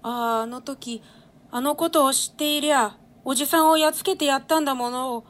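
A cheeky little boy of royal birth, acted by a woman, speaks in a high voice. He is precocious and pert, telling things with childlike directness in an old stage drama. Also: fairly even intonation, brisk, fairly bright tone. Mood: pert, chatty. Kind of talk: Japanese stage play read aloud.

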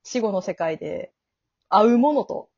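A woman's voice: medium pitch, animated, a little slow, gravelly, darkish tone, husky, lo-fi sound. A woman from an amateur theatre troupe talking in a casual chat.

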